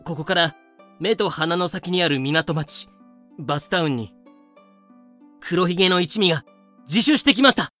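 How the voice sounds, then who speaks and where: A man's voice: high voice, lively intonation, normal speed, pauses often, sharp tone, no echo, soft background music. A man voicing a stiff, officious young colonial customs officer who speaks formally and politely in a fan audio drama. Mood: businesslike.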